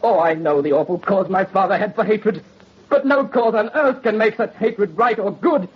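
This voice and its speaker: Tormented young nobleman, high husky voice, theatrical and pleading, muffled old radio drama. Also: normal speed. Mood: tormented protest. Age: young adult. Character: anguished, gentle young French nobleman resisting vengeance. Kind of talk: 1930s radio melodrama acting.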